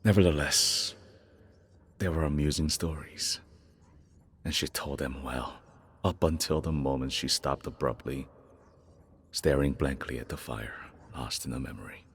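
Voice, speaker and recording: A weary father from a game story, with an extremely deep and heavily rasping voice. He reflects with regret and tenderness, as if telling old memories to his child. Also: measured pace, pauses often, a bit bright, no room sound, exaggerated voice. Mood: wistful. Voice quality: gravelly, husky, very deep. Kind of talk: dramatic first-person game monologue.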